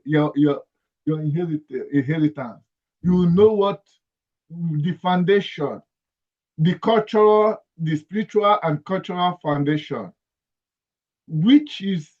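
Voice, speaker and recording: A man with a Nigerian accent, a guest speaking earnestly and emphatically on a video call, often repeating his phrases. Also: fairly high pitch. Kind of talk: conversational interview. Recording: dull lo-fi call audio.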